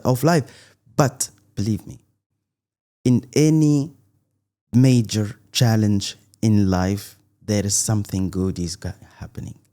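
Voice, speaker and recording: A man's voice: fairly high pitch, dark tone. A middle-aged man from Tunisia, a guest in an interview, talking earnestly with many restarts and an emphatic "believe me". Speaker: guest, content creator.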